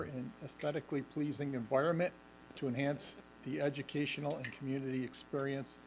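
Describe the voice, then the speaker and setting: A man presenting a facilities update at a school board meeting, halting with many ums and restarts, and losing his voice. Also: on the high side, creaky, a little hiss or hum, poor audio. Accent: Western New York. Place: school administration meeting room.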